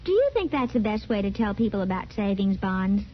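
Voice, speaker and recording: Perky, insistent wife played by an actress, bouncy intonation, old radio commercial, dull lo-fi sound. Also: female voice, medium pitch, big pitch swings, a little fast, close to an everyday voice. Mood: skeptical. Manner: chirpy, teasing, insistent. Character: perky, skeptical wife with a salesman's zeal. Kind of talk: old-time radio advertisement sketch. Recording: muffled vintage radio recording, faint noise.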